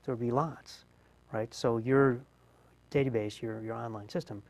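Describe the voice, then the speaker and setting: A man's voice: medium pitch, steady tone, moderate pace, darkish tone, poor audio. A man hosting an interview, asking questions conversationally and thinking aloud as he goes. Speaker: interview host.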